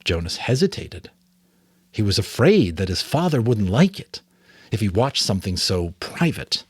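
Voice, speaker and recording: A man narrating a novel aloud as a dramatic, expressive reading. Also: on the low side, normal speed, slightly rough, no room sound, clean studio sound. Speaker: audiobook narrator and voice actor. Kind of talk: dramatic story reading with asides.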